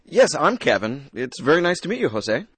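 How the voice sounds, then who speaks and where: A man playing a friendly young male college student from a small town in a scripted lesson dialogue, husky and eager with his questions. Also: fairly high pitch, big pitch swings, normal speed, a bit bright, no room sound, heavily acted. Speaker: dialogue actor.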